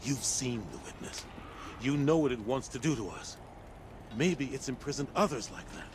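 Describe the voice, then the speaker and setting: An actor playing a stern, stubborn military commander in a video game, grave and deliberate with a weary edge of grief. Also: male voice, mid-range voice, animated, noisy background, close to an everyday voice. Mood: bitter. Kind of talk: video game cutscene acting.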